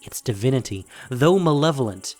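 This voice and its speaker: A man with a mid-pitched voice narrates dramatic fantasy as a scripted story. Faint music and insect sounds sit behind him. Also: expressive, normal speed, darkish tone.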